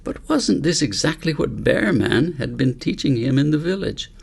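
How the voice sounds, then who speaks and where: A man narrating a story audiobook in a measured, reflective way, as an author reading his own book aloud. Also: on the high side, big pitch swings, vocal fry, husky.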